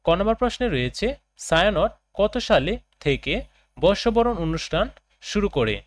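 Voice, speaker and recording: A man, a teacher reading out lesson questions and answers for students in a deliberate, phrase-by-phrase way. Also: sits high, very animated.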